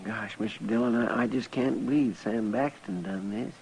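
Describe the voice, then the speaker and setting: An actor playing an earnest, folksy deputy sidekick with a rural American drawl in an old-time radio western. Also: male voice, lowish voice, normal speed, a little mellow, natural voice. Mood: concerned, earnest. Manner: drawling, plain-spoken, deferential. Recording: noisy old radio recording.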